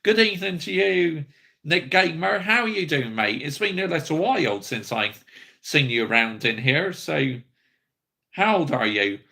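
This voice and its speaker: A man in his thirties rants bluntly about his football club, swearing freely as he hosts a fan livestream. His voice sits a bit high, bright and forceful, with a gravelly rasp. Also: lively intonation, a little slow. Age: 30s. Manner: angry, blunt ranting, sweary. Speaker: football fan channel host.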